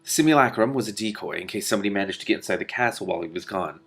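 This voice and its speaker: Man reading as a quick-talking wry king. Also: on the low side, animated, a bit bright, husky, full character voice. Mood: measured, wry. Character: calm wry king, a father explaining past troubles.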